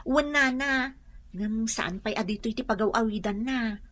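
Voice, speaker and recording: A young woman with an Ilocano accent playing a house helper in a radio drama, answering briskly and respectfully. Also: on the high side, a bit bright. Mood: reassuring. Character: eager young maid in a big house.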